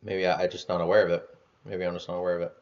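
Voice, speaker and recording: A man's voice: low-pitched, flat delivery, rapid-fire, darkish tone. A man talking through his thoughts in a loose, rambling way, full of fillers, restarts and the odd casual swear. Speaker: vlogger. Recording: dull lo-fi sound.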